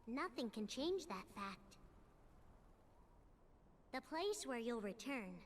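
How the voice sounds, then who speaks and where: A woman playing a gentle, solemn guide in a video game cutscene, speaking earnestly and deliberately. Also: fairly high pitch, very animated, a little hiss or hum. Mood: solemn, guiding. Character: gentle solemn guide advising a young hero.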